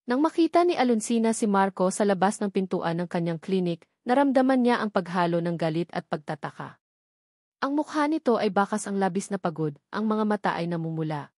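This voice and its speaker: Filipina lady reading out a dramatic story, mid pitch, really dry close recording. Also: a little mellow, no room sound. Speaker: story narrator. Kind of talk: scripted dramatic story narration.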